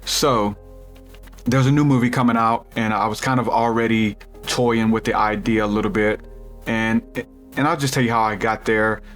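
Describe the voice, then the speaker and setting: A man with a deep voice hosting a podcast, rambling through a spiritual theory in casual chat with lots of restarts. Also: fairly even intonation, normal speed, fairly bright tone, soft background music. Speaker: podcast host.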